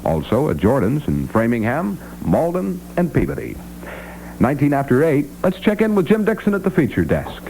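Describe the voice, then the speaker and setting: Male American morning radio host, conversational and animated, vintage noisy broadcast. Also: mid-range voice, moderate pace. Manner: conversational, addresses listeners directly. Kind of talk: live radio announcing and banter.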